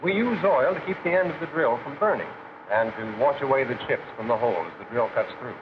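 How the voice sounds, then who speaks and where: On a dull 1940s film soundtrack with faint noise, an actor plays a male American factory instructor. He has a medium-pitched voice and talks in a plain, practical way, laying things out step by step. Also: animated, fast talker, lightly acted. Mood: methodical. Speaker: shop floor instructor. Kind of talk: 1940s training film acting.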